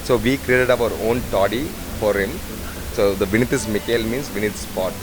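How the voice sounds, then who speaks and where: A man's voice: fairly low pitch, steady tone, moderate pace. A man, a head bartender talking in a rambling way with many fillers. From Sri Lanka. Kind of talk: casual interview. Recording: noisy bar ambience.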